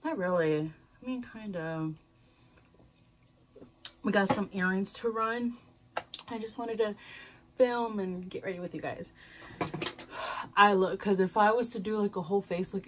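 Rambling young woman doing makeup. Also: mid-range voice. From Houston, Texas. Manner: rambling, loose, swears casually, self-mocking. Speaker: beauty vlogger. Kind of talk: casual chatty get-ready-with-me. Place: small decorated bedroom, indoors.

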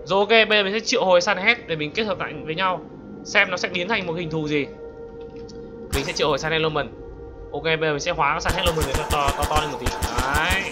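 High-pitched man doing gameplay commentary. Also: quick pace. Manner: exclamatory, peppers talk with English exclamations. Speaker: gaming YouTuber. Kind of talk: casual excited gameplay commentary. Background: music.

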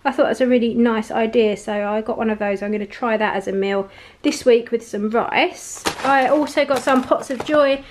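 A woman's voice: a little high, normal speed, a little hiss or hum. A woman in a casual, rambling grocery haul chat, laughing now and then while showing off her shopping at home. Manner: chatty, rambling, laughs often. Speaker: vlogger. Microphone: camera pointed at the table, speaker off screen.

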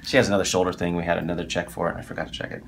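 A man's voice: low voice, racing, vocal fry, slight background noise. A middle-aged man, a chiropractor, talking and joking with a patient and the viewers during a session in a small office. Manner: jokey, animated, talks to viewers. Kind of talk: chatty patient session on camera. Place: small office with framed pictures.

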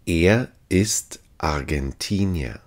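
A man's voice: low-pitched, lively intonation, extremely slow. A man teaching language phrases, saying each one three times carefully and evenly. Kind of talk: slow repeated phrase drill.

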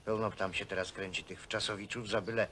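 An actor playing a brash, teasing hostel construction worker, blunt and mocking in naturalistic film style. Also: male voice, on the low side, flat delivery, brisk, fairly bright tone, slightly rough. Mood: needling. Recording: faint background noise, old film soundtrack.

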